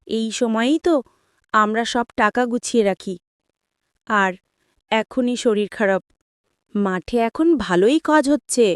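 A woman's voice: on the high side, clear, resonant tone, dry recording, studio quality, close to an everyday voice. A woman voicing a weary, hard-working village farm woman in a cartoon, plain and matter-of-fact. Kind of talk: cartoon voice acting.

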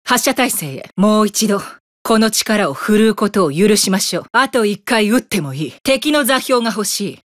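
A woman's voice: medium pitch, lively intonation, moderate pace, dry recording, clean studio sound, natural voice. A woman playing an imperious, megalomaniac commander of a secret satellite superweapon in a video game, with a haughty, theatrical, commanding delivery. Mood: cool, commanding. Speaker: military satellite weapon operator. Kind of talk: dramatic Japanese video game voice acting.

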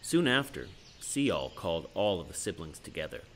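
A man narrating a children's story in an expressive, theatrical way. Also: deep voice, normal speed, noisy background. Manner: expressive, dramatic delivery. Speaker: storyteller, puppet show narrator.

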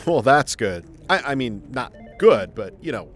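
Audio drama: good-natured wisecracking everyman reporter, mid-pitched and animated. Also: measured pace, faint music behind. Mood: polite, wry. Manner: affable, joking.

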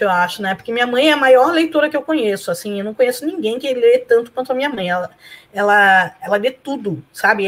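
A woman from Argentina whose first language is Spanish, chatting as a guest over a video call, laughing often and tagging her sentences with questions. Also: mid-range voice. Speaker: guest, professor and blogger. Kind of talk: casual interview chat. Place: home room.

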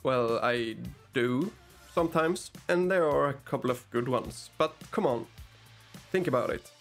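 A Swedish-born man in his thirties talks through a painting tutorial in fluent English, tossing in deadpan sarcasm. His voice sits at an ordinary middle pitch, and soft music plays faintly under him. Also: a little slow. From Sweden. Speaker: miniature painting channel host. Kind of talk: dry, joking tutorial narration. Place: small dim hobby room, indoors.

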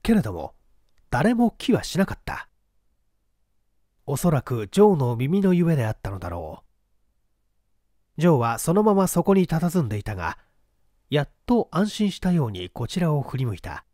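Male storyteller, slightly high voice, dramatic novel reading, deliberate phrasing, dry sound. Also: big pitch swings, mellow, no echo. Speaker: audiobook narrator.

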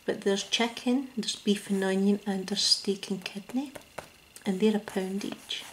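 A Scottish woman speaking ASMR in a soft, gentle whisper close to the mic, at a table indoors. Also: medium pitch, steady tone, unhurried, slight background noise, poor audio. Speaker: ASMR creator.

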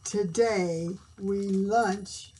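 A woman reading a storybook, voicing a jolly, stubborn king who makes slow, booming, grand pronouncements from his bath. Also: steady tone, warm tone, slight background noise. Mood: grandly jolly.

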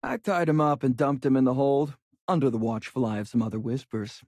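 A man's voice: medium pitch, brisk, a little mellow, dry recording, studio quality, close to an everyday voice. A man voicing a confident, easygoing young pirate helmsman in a video game, with a touch of swagger. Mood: breezy.

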